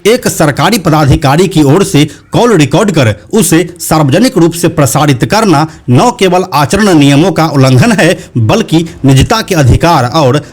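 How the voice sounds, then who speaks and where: A man's voice: a little high, animated, a little slow, hardly any pauses. A man voicing an arrogant local politician in a narrated explainer, haughty and browbeating. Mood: defensive, formal. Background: background music.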